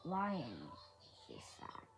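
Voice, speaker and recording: A woman reading a children's book aloud in a halting way, often repeating and correcting her words. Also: low voice, wildly swooping pitch, over music. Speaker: storybook reader. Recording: faint background noise.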